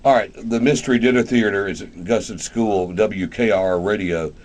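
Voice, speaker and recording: A man's voice: on the low side, moderate pace, a little mellow, a little hiss or hum. A middle-aged or older man, hosting a casual radio interview in a folksy, rambling way. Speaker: radio show host.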